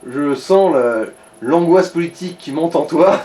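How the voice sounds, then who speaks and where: A man's voice: a little high, a little slow, mellow. A man giving casual commentary and advice to someone playing a video game. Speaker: co-commentator advising the player. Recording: echoey room, music behind.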